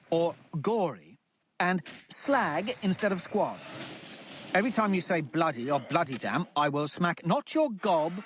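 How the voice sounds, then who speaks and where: A man voicing a prim, fastidious tutor of manners who scolds precisely and fussily. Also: on the high side, a little hiss or hum, pitched above the performer's own voice. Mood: scolding.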